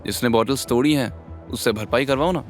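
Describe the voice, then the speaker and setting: A man's voice: mid-range voice, a little fast, soft background music, natural voice. Narrator as a cocky, blunt young man. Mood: taunting. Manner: blunt, taunting, nonchalant. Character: cocky, defiant young husband with casual arrogance.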